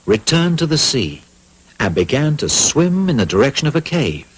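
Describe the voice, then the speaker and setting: A man with a husky voice narrating a cartoon as a scripted storybook tale. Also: fairly high pitch, big pitch swings, moderate pace, low-quality recording.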